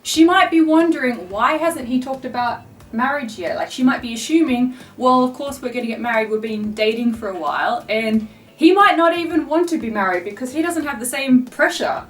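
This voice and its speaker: A woman hosting a casual, chatty vlog in an animated storytelling way. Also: high voice, a little fast. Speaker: vlog host. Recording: roomy, distant mic with faint music.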